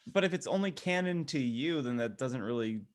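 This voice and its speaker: A man co-hosting a podcast in casual chat, speaking haltingly as he thinks aloud. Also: mid-range voice, lively intonation. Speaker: podcast co-host.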